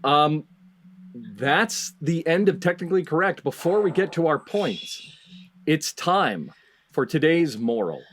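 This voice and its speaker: A man hosting a comedy panel game in a deliberate, mock-ceremonial way with dramatic pauses. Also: fairly high pitch, sing-song, fairly bright tone. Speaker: game show host and headmaster.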